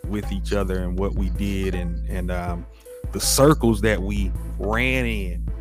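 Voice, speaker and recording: A man with a fairly low voice hosts an interview. He picks his words deliberately and pads them with formal turns of phrase. Music is clearly audible behind him. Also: fairly even intonation. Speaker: podcast host, interviewer. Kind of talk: interview hosting.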